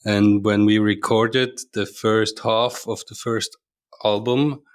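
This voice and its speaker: A man's voice: on the low side, little pitch movement, slow pace, clear, resonant tone. A man with a Swiss German accent, a band's drummer, talking easily in an interview. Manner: easygoing, talkative. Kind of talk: casual interview.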